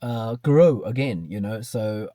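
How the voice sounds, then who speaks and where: A man's voice: on the low side, slow pace, dark tone, no echo, studio quality. Hesitant Chinese-accented man in his thirties. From China. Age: 30s. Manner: hesitant, many fillers and restarts, repeats "you know". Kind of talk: reflective personal talk to camera.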